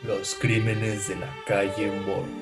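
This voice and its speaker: A man's voice: lowish voice, level tone, takes it slowly. A man acting as the solemn narrator of a radio crime mystery serial. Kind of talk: scripted radio drama narration. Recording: music behind the voice.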